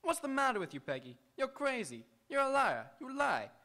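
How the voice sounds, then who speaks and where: Animated, bright-voiced, protective young hothead played by a man for a radio crime play, agitated and emphatic. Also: very high-pitched, moderate pace, full character voice. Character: hot-headed, protective young man in trouble. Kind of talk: old-time radio crime drama acting. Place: auditorium stage, live radio theatre.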